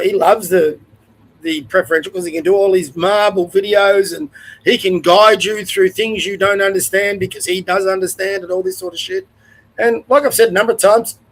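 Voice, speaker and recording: High-voiced older Australian man ranting, nasal. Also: poor audio. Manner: blunt, rambling, swears, restarts often. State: nasal from a cold, sniffly. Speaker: host. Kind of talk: opinion rant to camera.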